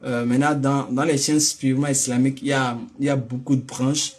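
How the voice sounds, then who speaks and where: A man with a West African French accent speaks as the host of a casual religious phone call. His voice sits at a medium pitch. He is a little hesitant and often repeats a phrase before moving on. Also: level tone, fairly quick.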